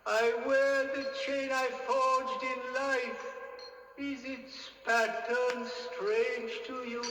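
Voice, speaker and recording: Radio drama spectre: stern, mournful, very slow and level, ghostly heavy echo. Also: male voice, very high voice, level tone, sharp tone, slight background noise, full character voice. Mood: grave, bleak. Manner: slow, solemn, chanting delivery. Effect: ghostly reverb. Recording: distant, heavily echoing reverberant hall effect.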